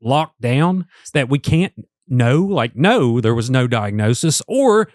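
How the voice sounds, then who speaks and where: A man's voice: medium pitch, very animated, slow, darkish tone, dry recording, studio quality. A man with an Appalachian accent, co-hosting a true-crime podcast, with wry, joking asides. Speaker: podcast co-host. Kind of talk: casual true-crime discussion.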